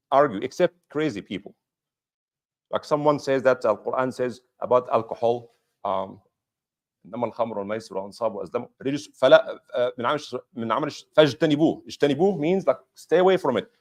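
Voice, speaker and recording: A man giving a mosque lecture as imam, explaining patiently with rhetorical questions and repeating key points for emphasis. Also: mid-range voice.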